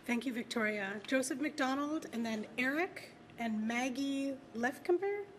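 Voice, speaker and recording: A woman's voice: high voice, lively intonation, unhurried, slight background noise. A woman chairing a public meeting, calling up speakers and handling procedure.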